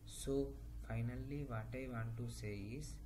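A young man from Hyderabad with an Indian English accent, giving a personal testimonial to a webcam at home, speaking slowly and carefully with pauses and occasional repeated words. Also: mid-range voice, fairly even intonation. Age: young adult. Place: indoor room at home. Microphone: laptop webcam at desk distance. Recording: noisy home webcam recording.

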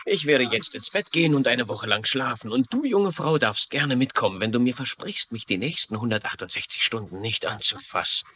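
An actor playing a flustered, exasperated husband and nephew in a dubbed TV crime drama. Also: male voice, mid-range voice, expressive, a little fast, hardly any pauses, sharp tone, a little hiss or hum. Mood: tired. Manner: agitated, hesitant.

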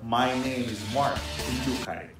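A man's voice: mid-range voice, unhurried, music in the background. A young man with a Filipino accent giving a formal recorded video greeting as a youth federation president, speaking from a curtained room. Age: young adult. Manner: formal, speech-like delivery.

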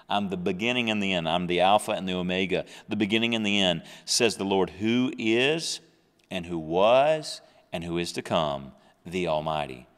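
Earnest man in his thirties, low voice, Bible teacher at a desk mic, some lingering reverb. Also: normal speed, a bit bright, smooth. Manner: earnest, reads scripture with swelling emphasis. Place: small studio with a dark backdrop. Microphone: large desk microphone close up.

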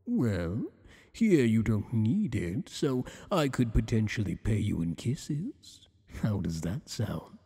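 A man playing a flirtatious, possessive tentacled alien boss in an ASMR roleplay, speaking in a teasing, courtly, deliberate way with long echoing reverb. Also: on the low side, big pitch swings, mellow, husky, heavily acted. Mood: seductive, coaxing.

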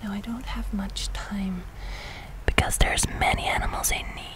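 A woman's voice: medium pitch, fairly even intonation, moderate pace, close to an everyday voice, poor audio. Whispering forest witch, played by a woman. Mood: calming, hypnotic. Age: 20s. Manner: soft-spoken, repeats words hypnotically. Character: gentle, soothing female forest witch healer. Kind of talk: whispered ASMR roleplay. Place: outdoors in a leafy garden.

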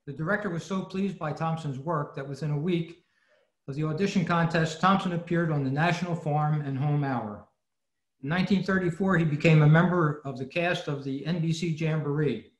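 A man's voice: on the high side, moderate pace. A man reading a prepared talk as a presenter on a video call, in scripted narration. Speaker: old-time radio club presenter. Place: indoor room, video meeting. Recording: echoey room on video call.

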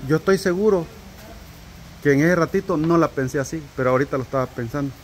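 A man's voice: a little high, fast, rough recording. A man, co-hosting a casual vlog, drawing out a story for suspense with restarts. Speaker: vlog co-host. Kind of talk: casual chat. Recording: outdoor audio with faint background noise.

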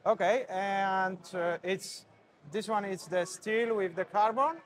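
Host, Polish man, 30s, high voice, halting non-native English, fair hall. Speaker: interviewer, channel host. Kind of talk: casual trade-fair interview. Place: busy indoor bike expo hall. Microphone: clip-on mic.

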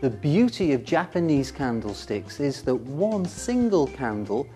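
Measured male instructional presenter over music. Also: medium pitch, big pitch swings, dark tone. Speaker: presenter of an educational film. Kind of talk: scripted instructional narration. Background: background music.

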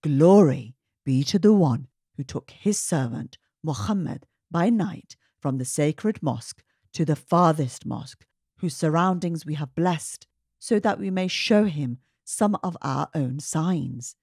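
A woman reading a children's story aloud in an expressive, emphatic narrating style. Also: low voice, unhurried, no echo, clean studio sound. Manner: animated, emphatic, clearly paced delivery. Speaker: children's story reader and host.

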